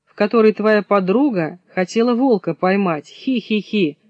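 A man's voice: sits high, normal speed, dry recording, dull audio, voice pushed up, close to an everyday voice. A man voicing a sly, scheming female fox with a coaxing, sugary, giggly manner. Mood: wheedling.

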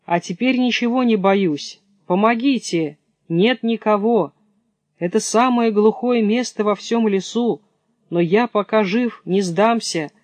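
A man's voice: high-pitched, a little slow, no room sound, pitched above the performer's own voice. A man voicing a timid but brave young hare, polite and agitated as he speaks. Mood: desperate, determined. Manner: polite, agitated, apologizing even while insulting.

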